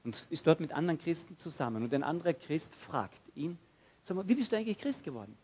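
A man preaching a sermon, swinging from loud outbursts to hushed, quiet passages and acting out prayers. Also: fairly high pitch, sing-song, fast, breathy edge, muffled recording.

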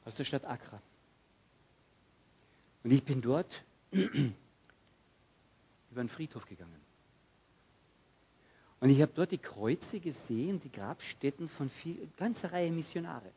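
Husky German preacher with sudden loud bursts. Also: male voice, fairly high pitch, lively intonation, unhurried, long pauses, lo-fi sound. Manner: dramatic swings from loud to hushed, acts out prayers. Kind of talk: sermon.

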